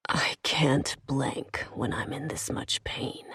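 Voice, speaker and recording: A woman voicing a gravely wounded alien male warrior who speaks in a hoarse, pained whisper. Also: deep voice, measured pace, a little mellow, dry recording, clean studio sound, heavily acted. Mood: pleading whisper.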